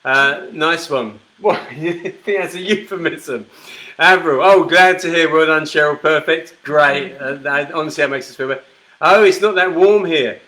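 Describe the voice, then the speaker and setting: A man's voice: fairly high pitch, normal speed, pushed and bright. Chatty man hosting a live whisky show. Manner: chatty, reads viewer comments aloud. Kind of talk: casual live-stream chat.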